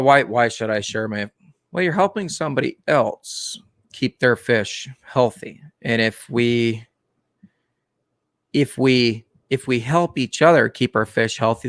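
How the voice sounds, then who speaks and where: A man hosting a live stream about the aquarium hobby, talking casually in a monotone, with many fillers and restarts. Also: mid-range voice, clean tone, no room sound, studio quality. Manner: plain, unhurried talk with many fillers and restarts.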